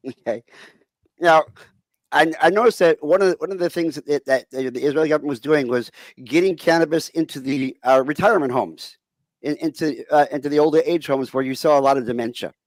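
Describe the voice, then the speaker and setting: A man's voice: a little high, brisk, no echo. A man hosting an online talk show over a video call, introducing guests and asking questions in a chatty, upbeat way. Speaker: show host.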